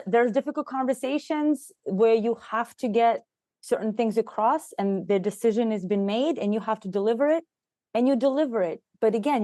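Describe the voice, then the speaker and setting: A woman's voice: on the high side, darkish tone, no echo. A middle-aged woman whose first language is Russian, a leadership coach talking earnestly and reflectively as a podcast guest. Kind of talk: podcast conversation.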